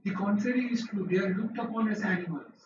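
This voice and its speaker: A man's voice: high voice, fast talker. Male academic speaker, pointed replies, lo-fi noisy room recording. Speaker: speaker presenting a paper. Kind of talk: academic seminar discussion. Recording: roomy, distant, lo-fi hall recording with noise.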